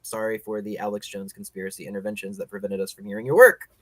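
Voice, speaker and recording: A man's voice: fairly low pitch, fairly even intonation, quick pace, fairly bright tone. A man hosting a talk show, giving enthusiastic, opinionated and discursive commentary in a conversational style. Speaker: film teacher and critic.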